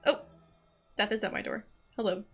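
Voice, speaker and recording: A woman's voice: mid-range voice, steady tone, moderate pace, vocal fry, husky, soft background music. A woman livestreaming a game, chatting casually and distractedly, swearing now and then and reading dialogue aloud. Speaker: streamer.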